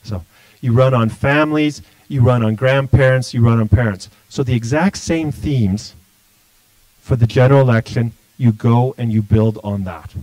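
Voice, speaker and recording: Blunt guy answering questions on a livestream, dry sound, mid pitch. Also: normal speed, a little mellow, no room sound, rough recording. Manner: blunt, forthright, restarts often. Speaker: political candidate.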